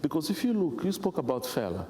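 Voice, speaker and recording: Brief: young Mozambican man, lively panel speaker, reverberant hall, faint noise. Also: a little high, fast. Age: young adult. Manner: animated, repeatedly asks if listeners follow. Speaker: panelist, festival founder and musician. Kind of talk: panel discussion. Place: conference hall.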